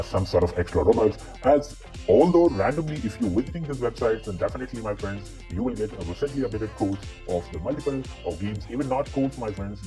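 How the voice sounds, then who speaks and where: A man with a pitched-down monster voice filter, walking through game codes and spelling each one letter by letter. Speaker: gaming channel host. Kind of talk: mobile game code tutorial. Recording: voice-over with faint guitar music.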